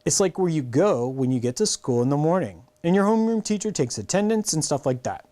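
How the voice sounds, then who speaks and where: Storybook schoolgirl, played by a man: talkative, helpful, rambling explanations, animated pitch. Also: a little fast. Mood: explaining. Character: chatty, bossy, helpful schoolgirl.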